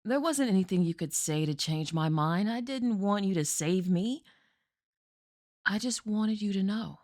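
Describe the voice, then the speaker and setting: A woman acting a despairing adult daughter who talks quietly to her mother, pleading and sometimes in a whisper. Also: mid-range voice, lively intonation, measured pace, no room sound, studio quality, close to an everyday voice. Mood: pleading, resigned.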